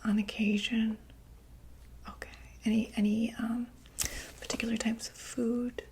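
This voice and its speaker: A young woman whispering softly as a doctor in a close-miked ASMR roleplay, reassuring and full of small fillers. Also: a little high, flat delivery, noisy background. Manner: soft, slow, reassuring bedside whisper with many fillers. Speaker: ASMR creator playing a monster doctor.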